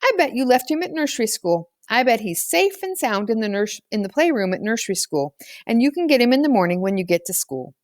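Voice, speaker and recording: A woman's voice: a little high, big pitch swings, fairly quick, dry recording, studio quality. A woman voicing a caring schoolgirl who reassures and coaxes like an older sister in a storybook. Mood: eager coaxing. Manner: reassuring, persuasive.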